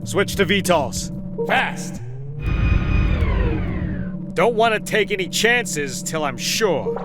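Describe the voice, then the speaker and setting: A man's voice: high-pitched, sing-song, slow, heavily acted. A man playing a bold, gung-ho American squadron leader in a motion comic, slangy and commanding, with an American accent. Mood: alert, commanding. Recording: loud music behind.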